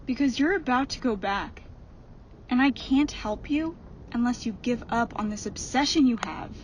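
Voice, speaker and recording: A stern otherworldly woman, voiced by an actress with a high voice, admonishing in a measured way. It is horror radio play acting with faint noise behind. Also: lo-fi sound, natural voice. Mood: stern warning. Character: stern female overseer warning a wayward succubus. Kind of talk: audio horror drama acting.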